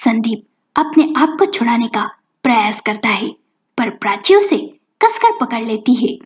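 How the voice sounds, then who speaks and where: A woman's voice: fairly high pitch, big pitch swings, a little slow. A woman storyteller narrating a scripted story. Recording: dull lo-fi audio with music. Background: background music.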